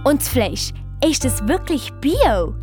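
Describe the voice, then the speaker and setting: Children's radio play: gushing, nosy rich lady from England, played by a woman, very high voice, music faintly behind. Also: very animated, takes it slowly, darkish tone, exaggerated voice. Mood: prying, sweet. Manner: gushing, politely inquisitive. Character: rich English lady, gushing, prying hotel guest.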